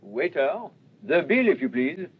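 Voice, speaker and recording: An actor playing a courteous, self-assured gentleman detective in a radio crime drama, with formal, theatrical manners. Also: on the high side, very animated, unhurried. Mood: courteous, curious. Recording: dull recording with faint noise.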